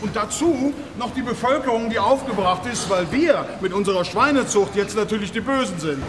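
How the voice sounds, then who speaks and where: High-voiced, aggrieved pig farmer. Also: male voice, lively intonation. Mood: indignant, agitated. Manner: halting, repetitive, self-justifying. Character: aggrieved, defensive male German pig farm owner. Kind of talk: naturalistic radio drama in reportage form. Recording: loud ambient noise of an animal barn.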